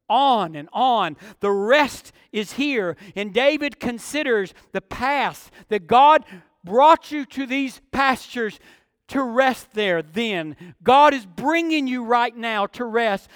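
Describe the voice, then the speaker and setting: A man's voice: very high-pitched, a bit bright, clean tone, dry recording, clean studio sound, in a large hall. A man preaching an expository sermon, with emphatic phrasing and repetition for effect.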